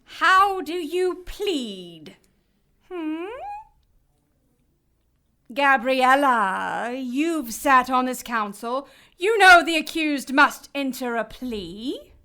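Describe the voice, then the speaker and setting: An actress playing a stern, imperious cherub judge in an audio drama, pompous, formal and commanding. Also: female voice, high-pitched, lively intonation, extremely slow, bright, forceful tone, full character voice. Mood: judicial.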